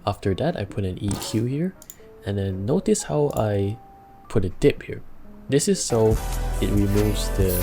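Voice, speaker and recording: A young man giving a casual music production tutorial from a home studio, chatty and relaxed, laughing between steps and using plenty of fillers. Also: fairly low pitch. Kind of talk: casual screen-recorded tutorial.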